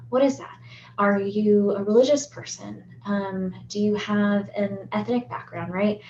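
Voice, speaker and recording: A woman psychologist from the United States talks through an educational lesson, steady and explanatory. She keeps checking in with the listener with a quick "right?" The recording sounds roomy and a little dull, with a faint hum underneath. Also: medium pitch. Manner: explanatory, often ends points with "right?". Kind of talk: educational talk on relationships.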